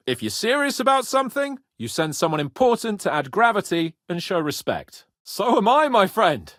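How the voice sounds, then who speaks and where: A brash young nobleman for a medieval video game. His voice sits high and swings widely, full of lordly self-importance and spirited confidence. The sound is very dry. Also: a bit bright, no room sound. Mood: earnest. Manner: pompous, spirited, swearing. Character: cocky young Bohemian noble lord, boastful, foul-mouthed, eager.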